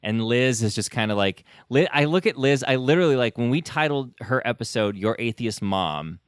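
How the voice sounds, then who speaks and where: A man's voice: mid-range voice, expressive, moderate pace, clean tone, no room sound, clean studio sound. A man hosting a podcast in a casual, conversational way, chatty and enthusiastic. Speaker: podcast host.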